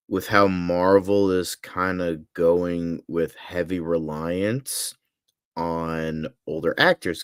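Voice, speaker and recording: A man co-hosting a casual podcast, giving opinions as he thinks aloud, rephrasing in a halting flow. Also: low-pitched, no room sound, studio quality. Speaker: podcast co-host.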